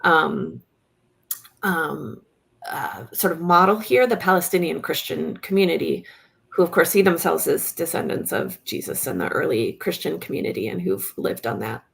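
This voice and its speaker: A woman speaking as a panel guest in an earnest, halting way, often pausing to search for words. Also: deep voice, animated, creaky, breathy edge. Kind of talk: reflective panel discussion.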